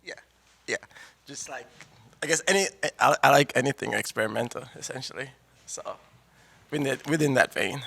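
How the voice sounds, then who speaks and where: A young adult rapper from Zambia, giving a relaxed, rambling radio interview full of fillers and restarts. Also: mid-range voice, brisk, creaky, pauses often, breathy edge. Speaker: rapper and producer, interview guest.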